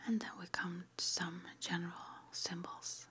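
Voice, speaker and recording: A woman whispering in a breathy, mostly unvoiced ASMR style, reading out short affirmations slowly and deliberately with pauses. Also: fairly low pitch, little pitch movement, pushed and bright. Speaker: ASMR roleplay host.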